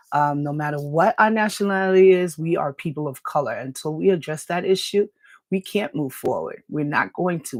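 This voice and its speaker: Woman talk-show host, raspy and dry-throated, earnest lecturing tone, close dry sound. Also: low voice, dry recording. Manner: earnest, lecturing, with small laughs and restarts. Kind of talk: live talk radio monologue answering comments.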